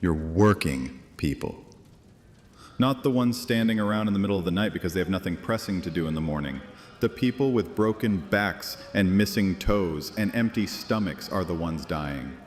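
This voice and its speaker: Very deep-voiced scheming high priest acted by a man. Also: animated, moderate pace. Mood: scornful, impassioned. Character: scheming, insistent dark high priest with biting contempt. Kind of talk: dramatic fantasy audio drama acting. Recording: long cavernous reverb, music underneath.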